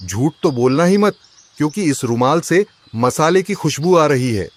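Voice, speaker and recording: A man playing an agitated, suspicious rich merchant husband in a cartoon, loud and complaining. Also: on the high side, lively intonation, moderate pace, lightly acted. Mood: accusing. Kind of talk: Hindi cartoon story voice acting.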